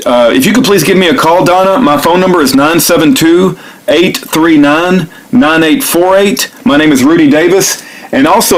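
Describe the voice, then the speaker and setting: A man speaking informally and earnestly, as if leaving a phone message or narrating one, in a halting, repetitive, pleading way. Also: on the high side, rough recording. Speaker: activist video maker recording himself making a phone call.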